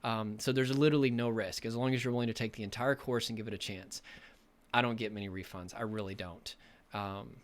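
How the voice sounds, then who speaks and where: A young man speaking as a recovery coach, hoarse and losing his voice from illness, talking in an earnest, rambling way with many fillers. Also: on the low side, steady tone, a little fast. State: losing his voice, a bit sick. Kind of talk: casual direct-to-camera talk.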